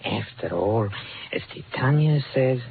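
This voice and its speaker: A man playing an unctuous, formal, softly menacing blackmailer in 1940s radio drama style. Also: medium pitch, expressive, measured pace, vocal fry. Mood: politely menacing. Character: oily, over-polite male blackmailer, softly menacing. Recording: tinny, hissy 1940s radio recording.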